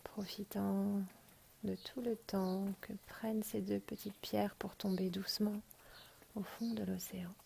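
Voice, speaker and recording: Very slow, hushed woman guiding relaxation. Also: medium pitch, little pitch movement, a little hiss or hum. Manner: hushed, breathy, half-whispered, soothing delivery. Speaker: yoga nidra teacher. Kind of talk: guided relaxation meditation. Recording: rain and birdsong behind the voice. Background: rain and birds.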